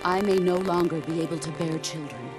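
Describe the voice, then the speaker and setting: Game villain-mentor, actress as a middle-aged woman soldier: solemn, philosophical, lowish voice, measured monologues over clear music. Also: lightly acted. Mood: resolute. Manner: measured, grave, philosophical delivery. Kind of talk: dramatic video game cutscene monologue.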